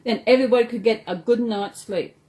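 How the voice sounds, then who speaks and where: A woman voicing an excitable, timid little boy gumnut creature in a children's storybook. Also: fairly high pitch, brisk, lightly acted, rough recording. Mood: earnest.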